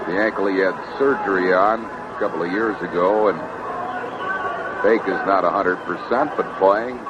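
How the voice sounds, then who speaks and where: A man's voice: low voice, highs cut off. A man calling live baseball play-by-play as a radio announcer, on an old 1970s broadcast recording. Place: stadium broadcast booth. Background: loud ballpark ambience and noise.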